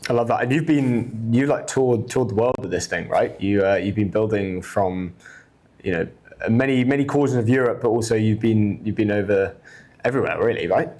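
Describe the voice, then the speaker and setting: Low-voiced enthusiastic podcast host. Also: male voice, moderate pace, darkish tone. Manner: enthusiastic, rambling questions. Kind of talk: casual interview.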